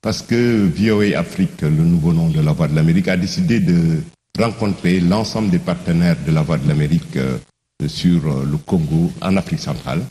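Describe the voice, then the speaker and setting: Male journalist guest, very low, dark voice, calm and unhurried. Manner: calm, thoughtful, with fillers. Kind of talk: interview answers.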